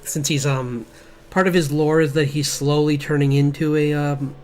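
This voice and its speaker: A man streaming as he draws, chatting casually in a halting, rambling way as an artist. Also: a little high, steady tone, darkish tone. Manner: halting, rambling, chuckling asides. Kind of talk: casual livestream chat while drawing. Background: background music.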